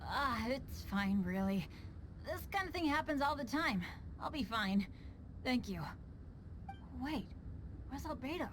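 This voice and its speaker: A woman's voice: high-pitched, lively intonation, a little slow, pauses often, a little hiss or hum. A woman voicing an earnest, unlucky teenage boy adventurer in an anime-style game, with a boyish voice. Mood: shaken, brave.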